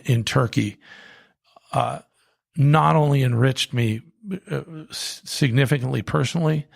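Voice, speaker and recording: A man, a coffee company co-founder, talking reflectively in an interview, with many restarts and fillers. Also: medium pitch. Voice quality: gravelly, husky.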